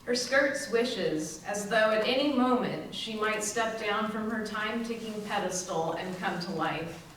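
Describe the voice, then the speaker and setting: A woman's voice: mid-range voice, a little slow, a bit bright. A woman reading scripted narration for readers theater. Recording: distant mic, very echoey room, faint noise.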